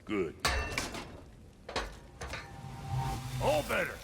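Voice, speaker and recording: Gravelly, wildly animated grumpy dwarf blacksmith. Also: male voice, fairly high pitch, moderate pace, heavily acted. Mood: sardonic, grumbling. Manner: crude, sarcastic, grumbling. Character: gruff, foul-mouthed, irritable dwarf blacksmith man. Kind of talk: video game character acting. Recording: long echoing reverb, faint background noise.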